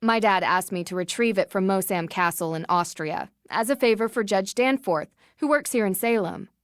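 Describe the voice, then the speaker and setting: An actress plays a young woman detective in a video game. She is earnest and methodical, with a mid-pitched voice and determined manner. The recording is very dry. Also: normal speed, no room sound, natural voice. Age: young adult. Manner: clear-headed, methodical, explanatory.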